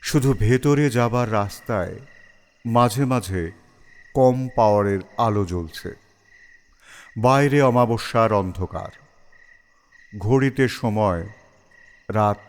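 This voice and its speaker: A man narrating a scripted story as its storyteller. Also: on the low side.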